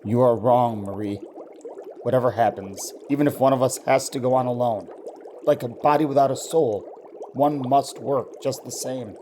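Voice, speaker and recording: Man acting devoted husband and scientist, radio drama, soft dark timbre, gentle sober manner, noisy room ambience. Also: medium pitch, normal speed, close to an everyday voice. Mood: solemn.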